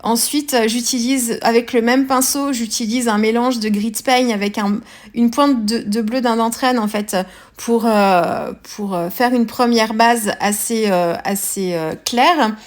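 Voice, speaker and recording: A woman with a French accent talks through a craft project in a fairly high voice. She pauses often and restarts her sentences. Her tone is casual and chatty, like a friendly tutorial. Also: steady tone. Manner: hesitant, many fillers and restarts. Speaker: scrapbooking vlogger.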